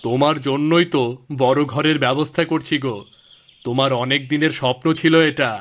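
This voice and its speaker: A man's voice: medium pitch, steady tone, moderate pace, close to an everyday voice. A man voicing a practical, good-natured village husband in scripted cartoon dialogue. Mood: teasing, placating. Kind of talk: scripted cartoon dialogue in a Bengali folk tale.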